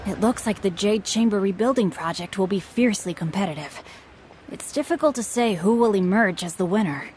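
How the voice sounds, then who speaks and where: A woman's voice: mid-range voice, animated, fairly quick, darkish tone, slight background noise, close to an everyday voice. A woman playing a courteous, composed young male merchant heir in a video game, speaking formally and articulately. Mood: thoughtful.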